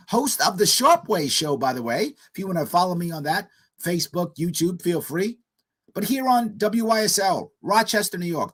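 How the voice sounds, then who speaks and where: A man hosting a call-in radio show with a hoarse, husky voice. He talks in short, emphatic bursts, and his pitch swings widely as he drives each point home. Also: a bit bright. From United States. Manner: emphatic, repetitive political commentary. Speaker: radio talk show host.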